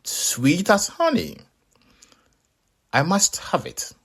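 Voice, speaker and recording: A greedy, scheming spider from a children's storybook, played by a man, pitched up high and husky. He exclaims his way through every plan and is easily exasperated. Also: wildly swooping pitch, slow, a bit bright, heavily acted.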